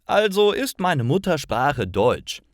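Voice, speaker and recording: A man's voice: fairly high pitch, sing-song, takes it slowly, no room sound, clean studio sound, voice pushed up, full character voice. A man voicing an eager, upbeat young man from northern Germany.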